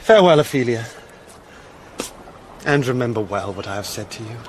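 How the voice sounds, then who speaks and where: An actor playing an affectionate, protective young nobleman, courteous and measured, in classical Shakespearean drama. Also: mid-range voice, lively intonation, slight background noise, lightly acted, low-quality recording. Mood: tender, parting. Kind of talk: classical Shakespearean stage-style acting.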